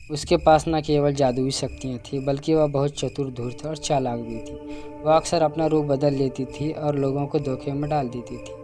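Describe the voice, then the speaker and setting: A man narrating a scripted cartoon folk tale as storyteller. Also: medium pitch.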